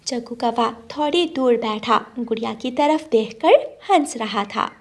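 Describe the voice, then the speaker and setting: A woman's voice: on the high side, measured pace. A woman narrator reading a scripted moral story for children.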